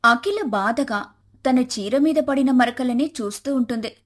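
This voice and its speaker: High-pitched woman storyteller. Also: a little slow. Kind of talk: scripted moral-story narration for animation.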